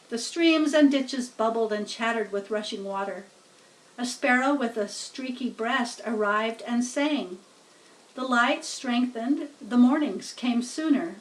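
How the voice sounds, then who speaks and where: Female children's book narrator, slow and deliberate, higher-pitched, slightly off the mic. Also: far from the mic, poor audio. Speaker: storybook reader.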